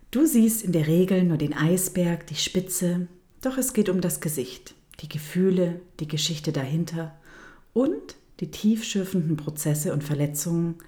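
A woman with a German accent hosting her own podcast, telling her personal story in a soft, intimate voice that often drops close to a whisper. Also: fairly low pitch. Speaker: podcast host, dance teacher. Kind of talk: storytelling monologue.

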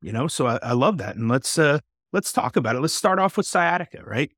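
A man's voice: fairly high pitch, big pitch swings, brisk, fairly bright tone, no echo, clean studio sound. A man from Texas hosting a podcast, casual and enthusiastic, with frequent fillers. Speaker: podcast host.

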